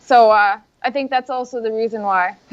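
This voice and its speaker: Icelandic woman, young adult, native Icelandic speaker, soft-spoken and modest, a bit high, dull lo-fi recording. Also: normal speed, smooth. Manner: soft-spoken, modest, giggles between answers. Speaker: bikini bodybuilding competitor. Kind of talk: casual interview answers. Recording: dull lo-fi sound.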